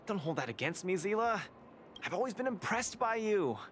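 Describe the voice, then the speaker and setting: A man voicing a brash, cheerful young fighting champion in a video game, speaking in an excitable, casual, teasing way. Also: high voice, moderate pace, slight background noise. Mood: playful pleading. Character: brash, cheerful young male underground boxing champion, eager and cocky.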